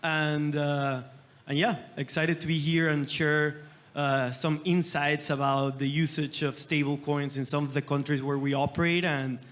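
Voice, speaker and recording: Venezuelan man, slightly high and flat. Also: unhurried. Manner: upbeat but level, with frequent "uh" fillers. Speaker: panelist, startup founder. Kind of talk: conference panel talk. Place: conference stage.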